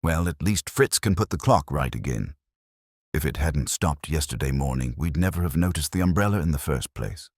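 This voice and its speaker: A man's voice: extremely low pitch, animated, normal speed, a little mellow, breathy edge, no room sound, clean studio sound, heavily acted. A man voicing a wisecracking detective's assistant in an audiobook, with wry, casual quips.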